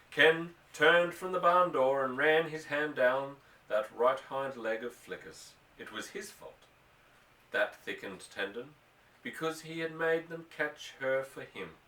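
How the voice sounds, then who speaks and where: Male audiobook narrator, bright and forceful, highly animated pitch, literary prose read dramatically, distant roomy recording. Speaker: audiobook reader. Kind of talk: scripted literary narration of a novel. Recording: roomy echo, distant microphone.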